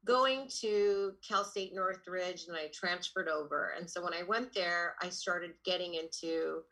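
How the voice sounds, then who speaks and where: Bright-voiced young woman, full of "like". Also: lowish voice, a little slow, low-quality recording. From Los Angeles. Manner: filler-heavy, lots of "like" and "um". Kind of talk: casual interview chat.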